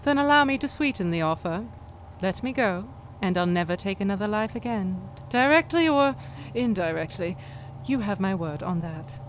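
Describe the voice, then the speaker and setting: An actress playing a cunning, defiant female vigilante in a pulp radio drama, with formal, deliberate, menacing phrasing. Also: mid-range voice, animated, a little hiss or hum, natural voice. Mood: bargaining.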